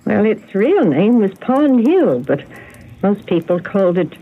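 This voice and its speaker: Genteel elderly spinster, tinny old radio. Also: female voice, medium pitch, sing-song, unhurried, husky, exaggerated voice. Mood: wistful, bitter. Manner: gracious, emotional storytelling. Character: elderly genteel spinster, hospitable yet haunted and bitter. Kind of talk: radio drama acting.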